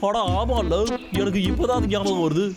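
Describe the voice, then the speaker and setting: High-pitched vain cartoon logger played by a man. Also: normal speed, natural voice. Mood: delighted, boastful. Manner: theatrical, boastful, whining exclamations. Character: vain, excitable, scheming male logger obsessed with his hair. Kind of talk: dubbed children's cartoon comedy. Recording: loud cartoon music behind.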